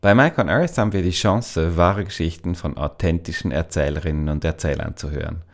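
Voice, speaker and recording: A man with a very low voice and a Swiss German accent introduces the episode. He reads from a script in a calm, measured way. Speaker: host.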